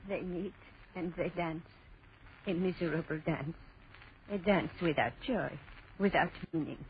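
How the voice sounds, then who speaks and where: A grave old woman, played by an actress speaks in slow, foreboding riddles. Her voice comes through a dull, noisy old radio horror recording. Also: fairly low pitch, close to an everyday voice. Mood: ominous, weary. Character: mysterious old French woman, grave and ominous. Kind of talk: 1940s radio horror acting.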